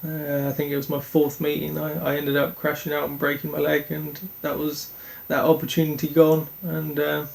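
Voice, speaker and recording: A young man from Denmark, a speedway rider answering interview questions in an earnest, halting way with many restarts. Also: on the high side, monotone, vocal fry, darkish tone.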